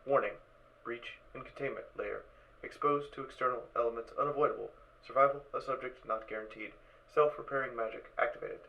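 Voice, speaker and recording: Man as a creaky, deadpan suit computer. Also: medium pitch, a little fast, highs cut off, close to an everyday voice. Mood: flat, matter-of-fact. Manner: clipped, formal, deadpan. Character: emotionless literal suit computer giving diagnoses and warnings. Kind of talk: fan audio drama acting.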